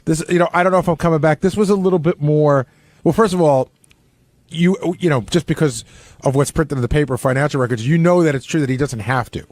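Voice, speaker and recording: A man's voice: on the high side, fast talker, darkish tone, no room sound. A man who works as a radio producer, chatting off the cuff and often rephrasing himself mid-sentence. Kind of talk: casual unscripted discussion. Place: radio studio. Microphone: close studio microphone.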